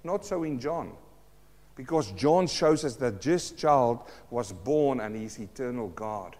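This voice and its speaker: Earnest pastor. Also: male voice, mid-range voice, expressive, slow pace, a little mellow, long reverb tail. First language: Afrikaans. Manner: earnest, addresses listeners as friends, asks rhetorical questions. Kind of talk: sermon.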